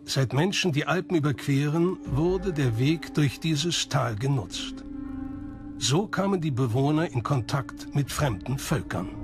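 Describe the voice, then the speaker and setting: A man's voice: a little high, lively intonation, measured pace. A man reading scripted documentary narration. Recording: music behind the voice. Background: background music.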